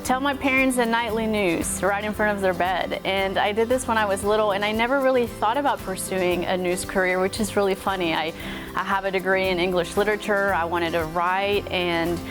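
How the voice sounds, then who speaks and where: A woman's voice: mid-range voice, fairly even intonation, brisk. A woman in her thirties from Mexico with a light Texas accent, a morning news anchor talking earnestly and gratefully about her life. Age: 30s. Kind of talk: personal interview talk. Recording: music playing underneath.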